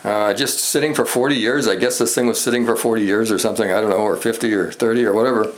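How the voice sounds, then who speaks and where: A man giving a casual, unscripted hobbyist show-and-tell, halting and rambling as he thinks aloud. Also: on the low side, steady tone. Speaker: hobbyist presenter demonstrating a vintage radio repair. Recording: echoey room with background noise.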